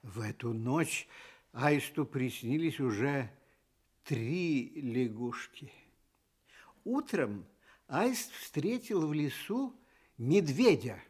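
A man narrating a scripted children's story for radio in a deliberate, dramatic way. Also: medium pitch, big pitch swings, a little mellow, husky.

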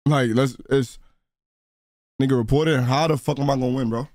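A man's voice: medium pitch, fairly even intonation, a little fast, darkish tone, no room sound. A man streams a game and reacts as he goes. His talk is casual, slangy and full of swearing, and the sound is close and dry. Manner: slangy, profane.

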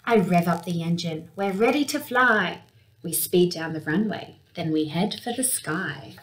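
Young woman doing an expressive kids storytime read, really unhurried, voice a bit on the low side. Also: slight background noise, rough recording. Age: young adult. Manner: expressive, with acted-out sound words. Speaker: storyteller reading a picture book. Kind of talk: children's read-aloud. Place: small indoor room with window blinds.

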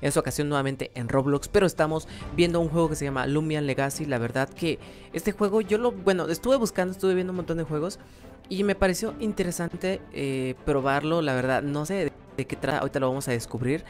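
A man's voice: a little high, a little fast. A young adult man with a Mexican accent giving casual, self-deprecating gameplay commentary, rambling and thinking aloud. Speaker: gaming YouTuber, host. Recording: background music under voice. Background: music.

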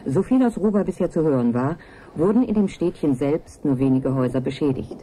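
An actress playing a sober news announcer, reading a bulletin in a formal, precise, factual manner in a radio play. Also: female voice, low voice, lively intonation, a little fast, mellow, a little hiss or hum, poor audio. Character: sober female news announcer reporting a disaster.

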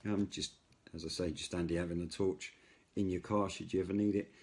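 Low-pitched British man, casual reviewer. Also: fairly quick, gravelly. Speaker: product reviewer, radio hobbyist. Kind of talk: casual hands-on product review. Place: indoors at a wooden table by a window. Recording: faint steady noise, handling clicks.

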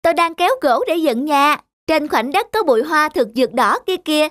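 High-pitched excitable little cartoon rabbit. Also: fairly quick, dry recording, clean studio sound. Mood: eager, boastful. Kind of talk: cartoon fairy-tale dubbing.

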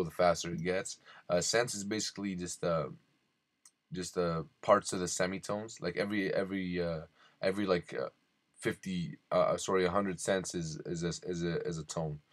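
A man hosting a casual software tutorial for beginners, talking informally with a halting delivery. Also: deep voice, steady tone, no echo. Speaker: tutorial host.

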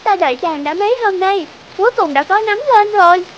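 A woman voicing a gentle, timid young princess in a cartoon fairy tale, polite and hesitant. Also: very high-pitched, fairly quick, lo-fi sound, a little hiss or hum. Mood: gentle, curious.

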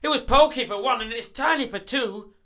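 A man's voice: very high voice, sharp tone, voice pushed up, full character voice. A man voicing a flustered, pleading little old lady in a storybook, exclaiming and dramatic. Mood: exasperated. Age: older. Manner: exclaiming, dramatic, drawn-out pleas.

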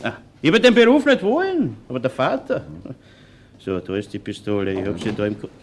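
Lively, defensive Viennese butcher boss. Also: male voice, mid-range voice, fairly quick. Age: middle-aged. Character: defensive middle-aged butcher shop boss and father. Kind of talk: German-language crime radio play acting. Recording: noisy old radio play recording.

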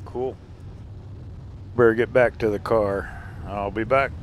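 A man's voice: lowish voice, steady tone, takes it slowly, slight background noise, rough recording. A man narrating a casual travel vlog to the camera, chatty and chuckling often, recorded outdoors and in his car on a camera's built-in mic. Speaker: RV travel vlogger.

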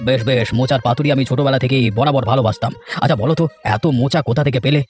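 A man acts out an elderly, dignified village gentleman from a fairy tale cartoon. He speaks in a much lowered voice, emphatic and righteous, often repeating his words. Faint music plays underneath. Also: fairly even intonation, racing, close to an everyday voice. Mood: pleased, curious.

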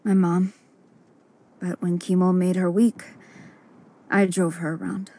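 An actress playing a loyal, vengeful young woman with a breathy, husky voice in a dramatic video game. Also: lowish voice, level tone, measured pace, warm tone. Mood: grieving.

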